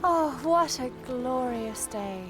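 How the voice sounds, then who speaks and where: A woman's voice: high-pitched, animated, takes it slowly, over music. A woman playing a sweet, admiring young sweetheart in a wartime radio drama. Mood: bright, adoring. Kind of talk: radio theatre acting.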